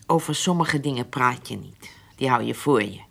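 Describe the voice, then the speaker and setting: A woman's voice: rumbling low, lively intonation, moderate pace, creaky, a bit bright, husky, full character voice. An actress playing a guarded, weary middle-aged mother who keeps her secrets, in a Dutch radio play.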